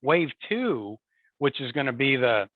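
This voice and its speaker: A man's voice: medium pitch, sing-song, unhurried, no room sound. A man speaking as an interview guest in a conversational way. He repeats words and often adds "you know" and "right?". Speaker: guest, support and field services executive. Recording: tinny remote call audio, dry.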